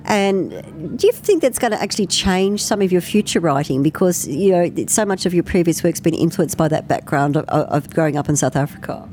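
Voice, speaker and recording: A woman's voice: fairly low pitch, animated, fairly quick, few pauses, warm tone, a little hiss or hum. A woman interviewing an author at a festival, asking questions in a conversational way with a few fillers.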